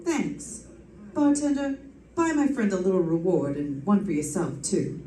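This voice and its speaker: A woman's voice: mid-range voice, measured pace, warm tone, slight background noise, natural voice. An actress playing a wisecracking young woman private detective in an old-time radio drama, with wry, breezy delivery. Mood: brisk. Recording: roomy distant stage recording with music.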